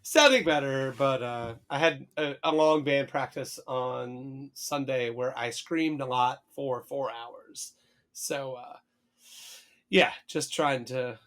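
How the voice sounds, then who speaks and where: A hoarse man co-hosting a podcast, chatting casually, swearing and hesitating often. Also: medium pitch, fairly bright tone. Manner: rambling, swears casually, many fillers and restarts. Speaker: podcast co-host. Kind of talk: casual chat.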